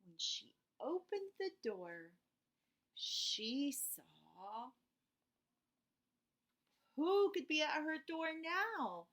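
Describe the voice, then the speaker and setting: Lady doing a kids storytime, slow n super expressive, bright teachery voice. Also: sits high. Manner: animated, teacherly, explains words and asks questions. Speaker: read-aloud storyteller for children. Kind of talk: expressive picture-book read-aloud with asides to young listeners.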